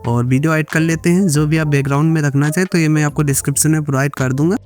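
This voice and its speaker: A man's voice: on the high side, steady tone, racing, a little mellow, soft background music. An Indian man narrating a step-by-step editing tutorial, mixing English words into repetitive instructions. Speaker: video editing tutorial creator.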